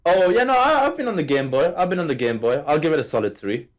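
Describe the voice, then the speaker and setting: A man's voice: a little high, sing-song, fast talker. A man from Hong Kong co-hosting a casual gaming podcast, joking and playful. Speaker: podcast co-host.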